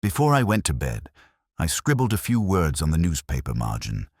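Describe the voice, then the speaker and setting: A man performs a weary older detective telling his story in an audio drama. The voice sits extremely low and is recorded very dry and close, with no room around it. The delivery is measured, brooding and literary, full of quiet melancholy. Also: huge pitch swings, slightly rough, no room sound, exaggerated voice. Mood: brooding, reflective. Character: weary, melancholy older male Oxford police detective narrating. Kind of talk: literary first-person audio drama narration.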